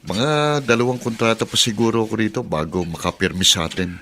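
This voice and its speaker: A man's voice: fairly low pitch, lively intonation, brisk, pushed and bright, slight background noise. An actor playing a teasing, friendly overseas worker and father in a radio drama, with a Filipino accent. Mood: chummy, teasing.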